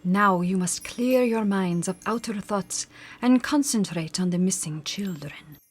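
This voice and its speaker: A woman's voice: mid-range voice, measured pace, lightly acted. A woman playing a formal, commanding and mysterious spirit medium in old-time radio drama style. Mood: solemn, commanding. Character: solemn theatrical female spirit medium.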